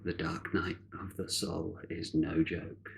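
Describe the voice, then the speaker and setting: Very slow, low-voiced man guiding meditation. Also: completely flat delivery, vocal fry, a little hiss or hum. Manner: measured, with long deliberate pauses between short phrases. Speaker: meditation guide. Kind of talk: guided meditation, spiritual narration.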